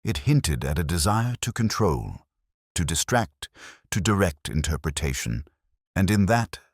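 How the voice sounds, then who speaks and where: A man reading a scripted literary detective story in the first person, with a measured, formal, reflective delivery. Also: a bass voice, dry recording, clean studio sound. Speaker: audiobook-style detective story narrator.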